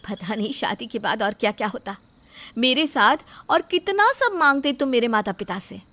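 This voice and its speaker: A woman's voice: a little high, animated, moderate pace, pushed and bright, full character voice. A woman playing an outspoken, self-respecting young bride-to-be in a story drama, speaking firmly and indignantly. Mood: defiant, proud. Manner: firm, indignant, emphatic. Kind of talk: dramatised Hindi moral story acting.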